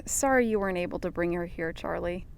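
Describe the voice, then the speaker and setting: A woman's voice: lowish voice, fast talker, gravelly, slight background noise. A woman playing a dry, exasperated young reporter with a wry, sarcastic manner in a comic audio drama. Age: 20s.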